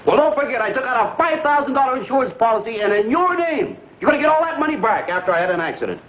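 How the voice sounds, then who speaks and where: An actor playing a needling, sarcastic, whiny brother-in-law in a theatrical 1950s broadcast comedy style. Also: male voice, very high-pitched, lively intonation, quick pace. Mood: aggrieved, sarcastic. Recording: muffled, noisy 1950s broadcast recording.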